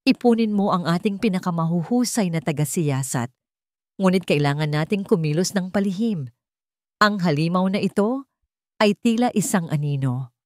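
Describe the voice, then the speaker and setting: A solemn king of a storybook tale, acted out by a woman narrator. The voice is low and close, with a dry sound. Each phrase is grave, firm and emphatic, as from a protective ruler. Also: lively intonation, moderate pace, a little mellow, no echo, lightly acted. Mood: serious, determined. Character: solemn, resolute, protective king, adult man. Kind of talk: dramatic storybook dialogue.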